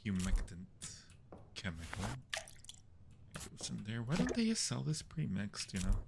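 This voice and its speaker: Guy streaming a scary game, kinda low voice, slow casual mumbly talk to himself, noisy background. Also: wildly swooping pitch. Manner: unhurried, muttering to himself and reading out tasks. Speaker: livestream host playing a horror game. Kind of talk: casual gaming commentary. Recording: clear background noise.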